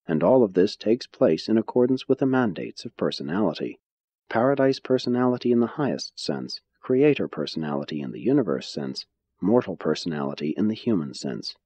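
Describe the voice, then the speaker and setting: A man narrating a religious audiobook in a measured, solemn way with deliberate phrasing. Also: fairly low pitch, warm tone, breathy edge. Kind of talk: scripted narration, religious text reading. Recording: dull, muffled audio.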